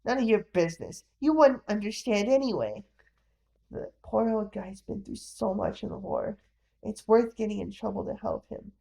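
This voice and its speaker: A woman's voice: medium pitch, expressive, moderate pace, gravelly, warm tone, low-quality recording. A woman voicing a cheeky, big-hearted young boy who talks in a brash, folksy, playful way. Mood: defiant.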